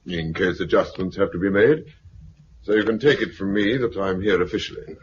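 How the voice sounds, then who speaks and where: An actor with a British accent playing a smooth, officious, condescending self-appointed commander in a 1950s radio drama. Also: male voice, sits low, moderate pace, lightly acted. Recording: muffled, hissy 1950s radio recording.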